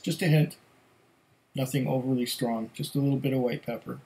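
A middle-aged man whose first language was German, giving casual whisky tasting notes at home, musing and pausing between sips, recorded on a webcam at desk distance. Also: mid-range voice, fairly even intonation, dark tone. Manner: slow, musing, pauses to sniff and sip. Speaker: whisky reviewer. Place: home room with shelves of bottles.

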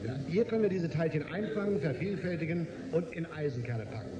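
An actor playing a composed, self-deprecating scientist-inventor with a dry manner in a radio drama. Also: male voice, a little high, lively intonation, fast, highs cut off, lightly acted. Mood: composed, wry. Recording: roomy echo, clear background noise.

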